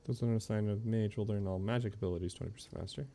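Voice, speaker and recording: A man streaming a game with low-key, musing commentary, in a casual live style. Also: steady tone, racing, mellow, a little hiss or hum. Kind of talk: casual live gameplay commentary, sometimes reading game text aloud. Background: faint game music.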